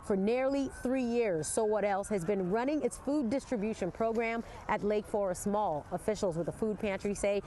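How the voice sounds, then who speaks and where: A woman's voice: medium pitch, moderate pace, few pauses, warm tone, slight background noise. A woman delivering a scripted TV news report.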